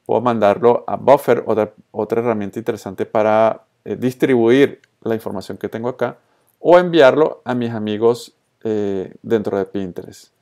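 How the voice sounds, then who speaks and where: A man's voice: lowish voice, normal speed, darkish tone. A man from Colombia and Venezuela, with an accent from both, narrating a casual screencast tutorial in an informal way, mixing in English terms. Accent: Colombian-Venezuelan Spanish. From born in Colombia, raised in Venezuela. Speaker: social media tutorial presenter.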